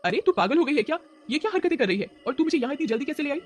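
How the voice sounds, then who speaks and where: A man voicing a bossy but kindly young housewife in a dramatized story, with a brisk, familiar manner. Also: very high voice, big pitch swings, faint music behind, pitched above the performer's own voice. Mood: indignant.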